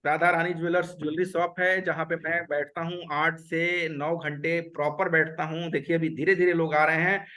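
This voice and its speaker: A man's voice: on the high side, little pitch movement, normal speed, few pauses, pushed and bright. A man from Uttar Pradesh teaching an online webinar over a video call, earnest and repetitive, mixing English words into his talk. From Uttar Pradesh, India. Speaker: webinar instructor.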